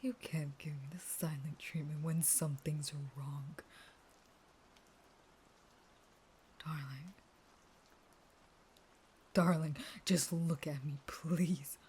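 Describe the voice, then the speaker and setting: Casting: actress as a clingy, unstable female vampire in a cage, low and slow, whispered pleading, intimate roleplay audio. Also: fairly even intonation, warm tone, slight background noise. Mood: desperate pleading. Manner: breathy, whispery, pleading, dramatic.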